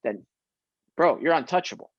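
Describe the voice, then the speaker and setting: A man's voice: on the high side, expressive, moderate pace, no echo, lo-fi sound. A young man born in Syria, a guest actor talking casually and emphatically in an interview, laughing often.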